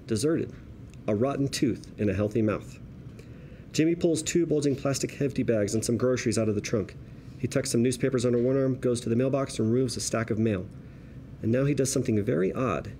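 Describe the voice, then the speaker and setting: Male table-read narrator, warm relaxed tone, measured descriptive delivery of stage directions, faint background noise. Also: mid-range voice.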